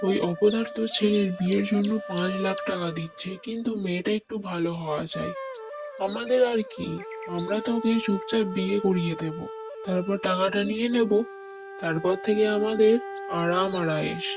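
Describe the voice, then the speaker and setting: A man's voice: high-pitched, normal speed. Man acting greedy, scheming cartoon uncle, music behind. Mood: scheming glee. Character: greedy, scheming middle-aged step-uncle. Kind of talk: cartoon moral-story voice acting.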